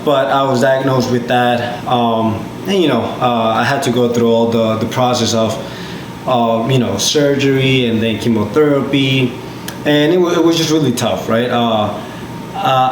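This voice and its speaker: A man in his twenties from Peru, a podcast guest telling his story casually with many fillers and restarts. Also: mid-range voice. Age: 20s. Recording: echoey room with loud background noise.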